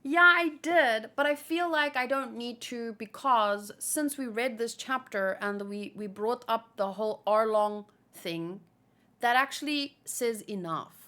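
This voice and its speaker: A woman's voice: on the high side, measured pace, pushed and bright. A woman host chatting casually and informally about manga, rambling a little as she thinks aloud.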